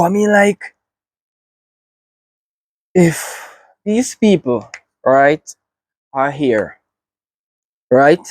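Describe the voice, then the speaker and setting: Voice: medium pitch, dry recording. A reaction video host with a Jamaican accent, giving earnest, reflective commentary and often checking in with "right?".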